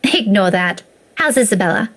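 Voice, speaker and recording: A woman voicing a concerned, guarded young woman in a visual novel, speaking in a measured, conversational way. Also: mid-range voice, lively intonation, a bit bright, faint music behind.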